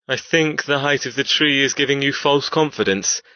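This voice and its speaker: A man performs a cold, cruel captor in a dramatic audiobook. His voice is tense and forceful, clipped and sneering, with a controlled threat in it. The sound is very dry and slightly dull. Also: mid-range voice, moderate pace, no room sound, natural voice. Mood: cold, threatening. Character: cold, menacing male captor, cruel and taunting.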